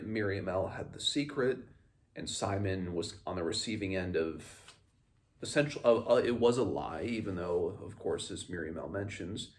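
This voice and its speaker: A man with a fairly low voice hosts a casual book recap. He talks in a rambling, halting way, often doubling back to rephrase as he comments on the story.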